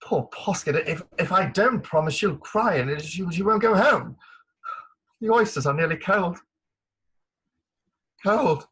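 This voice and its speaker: A man acting a flustered, gallant middle-aged colonel in a comic Victorian farce, stammering and hesitant, with a husky voice. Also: high voice, lively intonation, unhurried, long pauses, fairly bright tone, exaggerated voice. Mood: anguished dithering. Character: flustered, gallant English army colonel, bumbling and reluctant. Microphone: remote home recording over video call.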